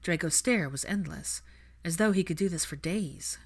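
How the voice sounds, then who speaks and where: A woman's voice: fairly low pitch, moderate pace, slightly rough. A woman reading fan fiction aloud as a scripted story narration.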